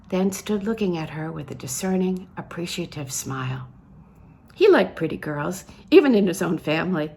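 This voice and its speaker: A woman's voice: on the low side, a little mellow, a little hiss or hum. A woman reading literary fiction aloud as an audiobook, phrasing it expressively and with emphasis. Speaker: audiobook reader.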